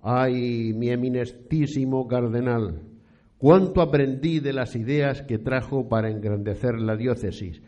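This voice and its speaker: A man's voice: mid-range voice, measured pace, dark tone, close to an everyday voice. The recording is a little dull and muffled. A man acts out an ailing, pious old priest from eighteenth-century Spain in a radio drama, with a mid-range voice, a Spanish accent and a nostalgic, ornate, formal way of speaking. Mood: reflective, devout. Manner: formal, archaic, florid speech. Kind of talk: period radio play acting.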